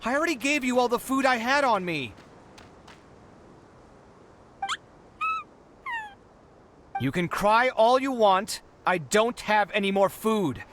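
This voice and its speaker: A man's voice: sits high, animated, moderate pace, fairly bright tone, a little hiss or hum. Theatrical, exasperated young male inventor. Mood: exasperated, pleading. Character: harried young male inventor, dramatic, put-upon, kind-hearted. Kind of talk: animated video game character acting.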